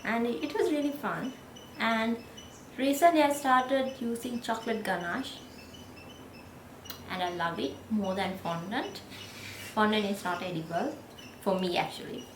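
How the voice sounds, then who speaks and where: A woman in her thirties with a Sri Lankan accent, whose first language is Sinhala, chatting casually to the camera in a gentle, unhurried way. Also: fairly high pitch, roomy sound, background noise. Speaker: vlogger.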